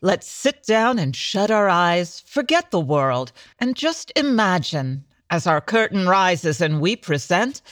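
A woman's voice: fairly low pitch, sing-song, slow pace, few pauses, no echo. A woman as announcer, giving theatrical storybook narration in the manner of old-time radio.

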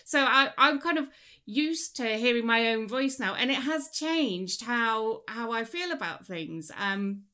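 A woman's voice: fairly high pitch, animated, unhurried, pushed and bright. A woman hosting a podcast interview, reflecting on her guest's points in an agreeable, casual way. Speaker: podcast host.

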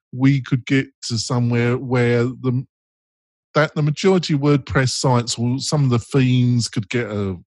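A man's voice: mid-range voice, no echo. Rambling man host, dry sound. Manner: rambling, halting, asks others for agreement. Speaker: podcast host. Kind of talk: casual chat.

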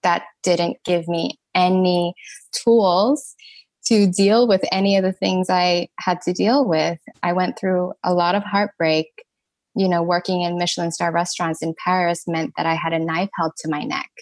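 A woman's voice: on the low side, normal speed, dry recording. Thai-raised woman sharing her story. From Thailand. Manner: animated, laughs between phrases. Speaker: podcast guest, energy healer. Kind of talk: conversational storytelling.

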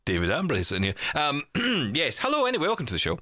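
A man's voice: fairly high pitch, wildly swooping pitch, quick pace, sharp tone, no room sound, clean studio sound. A Scottish man hosting a podcast in a casual, chatty style, joking and poking fun at himself. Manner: jokey, self-deprecating. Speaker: podcast host. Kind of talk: casual banter chat.

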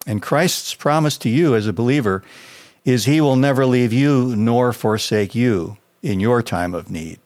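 A man's voice: medium pitch, a little slow, a little mellow. An American man, a pastor, reading a scripted devotional.

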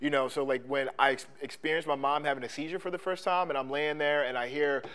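A man co-hosting a podcast, speaking in a casual conversation, halting with many restarts and ums. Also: a little high, steady tone, fairly bright tone, smooth. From Philadelphia. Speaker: podcast co-host; sports coach and trainer.

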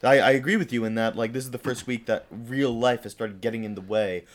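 A young man from the United States co-hosting a podcast in casual chat, hoarse from a cold, chatty and often restarting his sentences. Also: medium pitch, fairly even intonation, brisk. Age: young adult. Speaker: podcast co-host.

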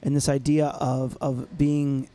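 A man's voice: medium pitch, level tone, unhurried, warm tone. A middle-aged man co-hosting a casual podcast chat, easygoing and reflective as he tells stories. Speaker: podcast co-host.

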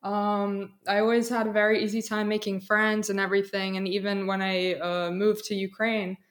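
Young woman, medium pitch, student interview guest, candid, thoughtful, conversational personal storytelling. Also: flat delivery, normal speed. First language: English. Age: young adult.

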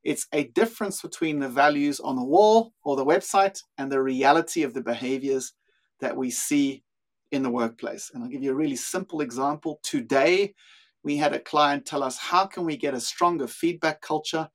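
A man's voice: on the high side, moderate pace. A man with a South African accent, a guest in a podcast interview, speaking thoughtfully with frequent small restarts as he explains his views. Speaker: guest, leadership consultant.

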